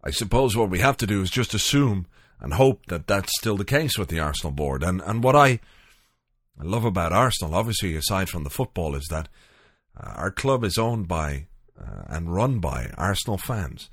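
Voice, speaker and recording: A man hosts a podcast from home late at night, chatting loosely and wandering off on asides. His voice sits low but swings widely in pitch. The sound is dry and close. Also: big pitch swings, normal speed, fairly bright tone, slightly rough, dry recording. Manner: rambling and loose, many fillers and asides. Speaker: podcast host.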